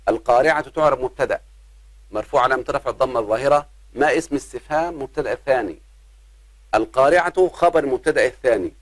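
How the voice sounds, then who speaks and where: A man, teaching an Arabic grammar lesson. He talks patiently, addresses his students as his children and slows down on examples. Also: medium pitch, dry recording. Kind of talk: grammar lesson explaining verse.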